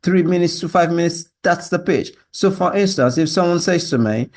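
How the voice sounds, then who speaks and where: A Yoruba-speaking Nigerian man with a learned British accent, pitching himself as a salesman in an assertive monologue, often tagging statements with a questioning yeah or right. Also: moderate pace, a little mellow. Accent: learned British with Nigerian influence. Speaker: sales closer, job applicant. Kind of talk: self-presentation monologue to camera.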